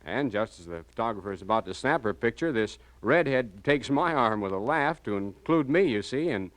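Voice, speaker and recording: A man's voice: fairly low pitch, expressive, fairly quick, no echo, close to an everyday voice. An actor playing an anxious married small-town businessman, hesitant and flustered, in 1940s radio style. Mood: nervous recounting.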